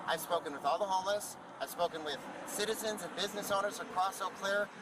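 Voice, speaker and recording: A man's voice: sits high, fairly even intonation, fast talker, distant mic, background noise. A man protester arguing passionately and insistently outdoors, filmed on a phone. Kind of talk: heated argument.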